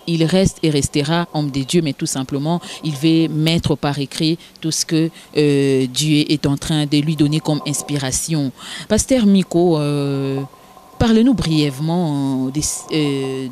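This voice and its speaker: A woman, hosting a formal television interview in a hesitant way, with many filler pauses. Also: low voice, a little mellow, slight background noise. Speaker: TV show host.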